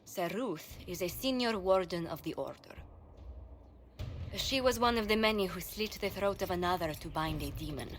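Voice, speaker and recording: An actress playing a poised court adviser in a fantasy video game, speaking in a formal, official manner. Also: female voice, lowish voice, normal speed, slight background noise, close to an everyday voice. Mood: solemn, official.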